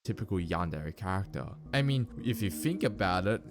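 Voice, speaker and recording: Low, animated male reviewer over music. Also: normal speed. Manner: blunt, critical. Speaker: video reviewer. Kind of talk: scripted video review commentary. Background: background music.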